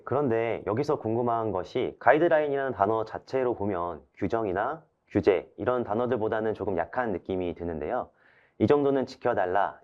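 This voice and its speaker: Lower-voiced man moderating a webinar. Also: dull audio. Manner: polite, formal, summarizing. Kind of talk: scripted moderated webinar talk.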